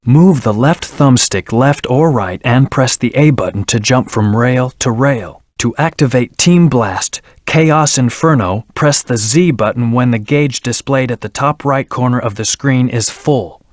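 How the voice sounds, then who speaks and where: A man's voice: medium pitch, unhurried, few pauses, no room sound, clean studio sound, natural voice. A man voicing a brooding, stern hedgehog antihero in a video game, speaking in a terse, serious, controlled way. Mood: cool, instructive. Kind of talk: video game voice acting, scripted hints and battle lines.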